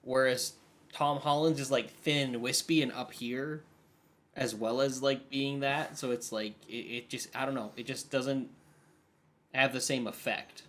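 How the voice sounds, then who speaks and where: A man's voice: mid-range voice, steady tone, unhurried, fairly bright tone. A man co-hosting a casual podcast, explaining his view in a thoughtful, somewhat hesitant way. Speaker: podcast co-host. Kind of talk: casual chat.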